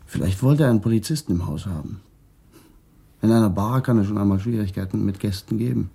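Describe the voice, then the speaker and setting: A reflective middle-aged policeman in a radio crime play. His voice is mellow and husky with some creak, and he talks in a measured, guarded manner. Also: on the low side. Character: calm, reflective Papuan-Dutch traffic policeman being questioned. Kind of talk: German radio crime drama acting.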